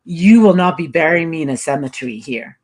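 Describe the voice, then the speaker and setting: A woman speaks as an academic guest, with a slightly low voice. She explains ideas with assurance, stressing each point clearly. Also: animated, a little fast, darkish tone. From Nigeria. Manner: assured, explanatory, frequent affirmations. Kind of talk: interview answers, explaining.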